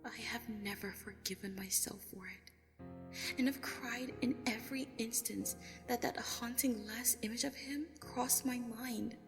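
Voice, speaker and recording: A woman playing a traumatized, grief-stricken young female pony recounting horror in a breathy, anguished first-person narration. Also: on the high side, unhurried. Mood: haunted, grieving. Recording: long reverb, music underneath. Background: music.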